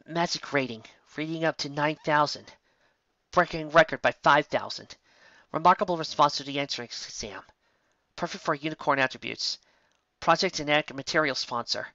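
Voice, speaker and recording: A man's voice: on the high side, normal speed, sharp tone, dry recording, lo-fi sound, pitched above the performer's own voice. A man voicing a scholarly young unicorn mare in a story, explaining and reading aloud in a careful, earnest way. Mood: reading, disturbed.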